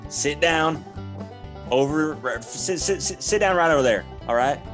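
A man's voice: on the high side, lively intonation, unhurried, music in the background. A man playing a hokey, overeager salesman dad in a parody ad skit, with folksy, emphatic patter. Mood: insistent.

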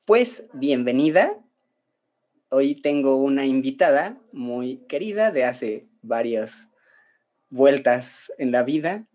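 A man hosting a podcast, asking his guest questions in slow, hesitant phrases. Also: mid-range voice, sing-song, a little mellow. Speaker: podcast host. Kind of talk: interviewer.

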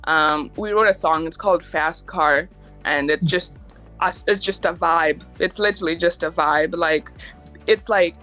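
Young woman singer talking about her music, kinda low voice, rambly, lots of "like", faint music behind. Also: a little slow, a bit bright. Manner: chatty, lots of "like" fillers, laughs easily. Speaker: recording artist, interview guest. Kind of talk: casual interview chat.

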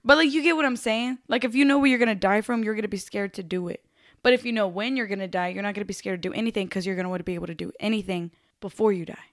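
A woman's voice: medium pitch, rapid-fire, dry recording, clean studio sound. A young woman co-hosting a podcast, chatting in a laid-back, slangy way. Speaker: podcast co-host. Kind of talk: casual chat.

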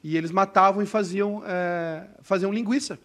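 Gaucho guy rambling thru a story, lots of "né", a bit high. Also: steady tone, a bit bright. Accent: southern Brazilian (gaúcho). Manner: rambling, halting storyteller with many "né?". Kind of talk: casual chat, storytelling.

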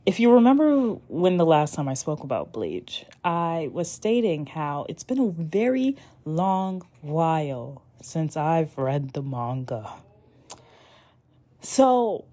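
A young adult woman hosting a casual podcast, rambling and animated as she recaps anime, with frequent restarts and casual swearing. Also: fairly low pitch. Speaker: podcast host.

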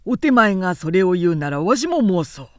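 A woman voicing an irascible old samurai chief retainer in an audiobook, with forceful, pompous, archaic speech. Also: lowish voice, sing-song, brisk, dry recording, studio quality. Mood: blustering, accusing.

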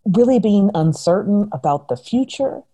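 A woman's voice: mid-range voice, expressive, normal speed, warm tone. A woman host talking in casual conversation, making her points emphatically with illustrative examples.

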